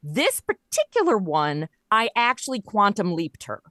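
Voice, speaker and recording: A woman's voice: medium pitch, huge pitch swings, measured pace, bright, forceful tone, no room sound, clean studio sound. A woman chatting casually as an interview guest, explaining her creative work in a talkative storytelling way. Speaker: interview guest, audio drama writer and producer.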